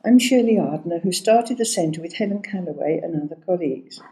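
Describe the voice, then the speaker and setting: A woman's voice: lowish voice, expressive, a little fast, dark tone, poor audio. An older woman academic narrating a prepared first-person account. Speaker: academic, research centre founder.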